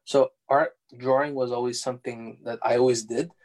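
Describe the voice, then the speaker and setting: A young man whose first language is Farsi, answering interview questions in a relaxed, halting way with many fillers and restarts. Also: medium pitch. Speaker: interview guest, architectural designer.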